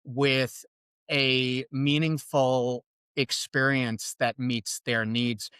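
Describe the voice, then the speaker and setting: A man's voice: mid-range voice, very slow, clear, resonant tone, dry recording. New York man, dry close sound. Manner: thoughtful, restarts often, animated. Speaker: podcast guest, fitness coach. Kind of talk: casual conversational interview.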